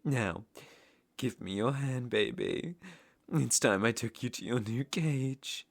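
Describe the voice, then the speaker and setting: A British man playing an obsessive, menacing ex-lover in an ASMR roleplay, speaking with deliberate mock tenderness and possessive mockery. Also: medium pitch, gravelly. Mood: possessive, quiet. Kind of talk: ASMR roleplay audio drama, intimate second-person acting.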